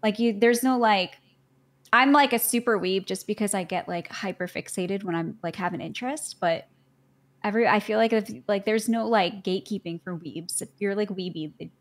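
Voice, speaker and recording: A young adult woman talks casually as a guest on a chat stream. She rambles, circling back with "like" and restarts, in an ordinary middle-pitched voice. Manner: chatty and rambling, heavy use of "like", quick to laugh.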